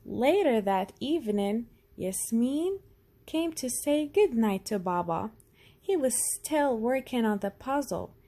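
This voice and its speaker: A woman narrates a children's picture book. Her voice sits fairly high and moves with lively expression. She takes her time over the phrases, reading slowly and deliberately. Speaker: storyteller.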